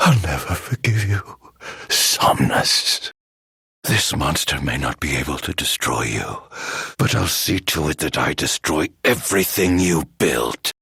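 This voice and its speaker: Theatrical, gravelly, husky villain. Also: male voice, a bass voice, animated, unhurried, bright, forceful tone, no room sound, studio quality, heavily acted. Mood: vengeful, solemn. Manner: grandiloquent, theatrical, ornate diction. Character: theatrical, vengeful fallen healer-king turned immortal villain. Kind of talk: dramatic video game voice acting.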